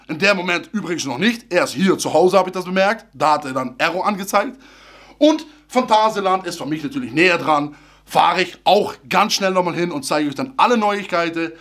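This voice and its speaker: Chatty man. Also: high voice. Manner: chatty, animated, slightly broken grammar. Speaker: theme park YouTuber. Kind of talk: casual vlog talk to camera. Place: small room full of fan merchandise, indoors. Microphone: camera at close range.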